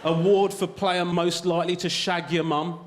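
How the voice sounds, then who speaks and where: A man does stand-up on a TV studio stage before a live audience, his voice high for a man. He talks conversationally and keeps checking in with "right?". The sound carries a long hall reverb, with a lot of noise from the crowd. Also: little pitch movement, moderate pace. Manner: conversational, tags lines with "right?". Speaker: stand-up comedian. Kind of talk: stand-up routine.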